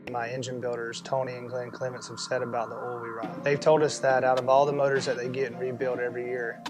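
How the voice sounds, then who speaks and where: A man's voice: mid-range voice, little pitch movement, fast. A man, a race car driver, giving an earnest sponsor testimonial in an ad. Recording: ad with a loud music bed.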